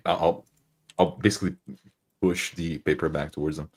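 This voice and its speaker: A man at a tabletop game, tentatively and haltingly narrating what his character does. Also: sits low, steady tone, darkish tone, slightly rough. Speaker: tabletop roleplay player. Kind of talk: improvised narration of own character's actions.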